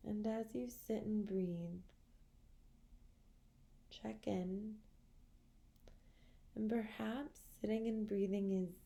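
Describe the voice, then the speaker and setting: Slow, gravelly-edged woman guiding relaxation. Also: mid-range voice, steady tone. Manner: soothing, gentle, measured with long pauses. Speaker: guided meditation instructor. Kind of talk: guided relaxation meditation.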